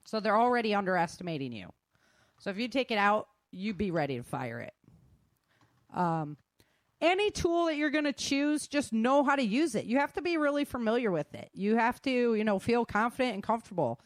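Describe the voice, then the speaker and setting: A woman's voice: on the high side, big pitch swings, brisk, dry recording. Woman giving dry-recorded, plain-spoken advice. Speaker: guest sharing self-defense advice. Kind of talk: casual conversational advice.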